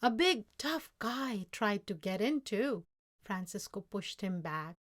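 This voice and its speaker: A woman storyteller reading a children's story aloud in a measured, expressive way. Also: mid-range voice. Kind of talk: scripted children's story narration, read aloud.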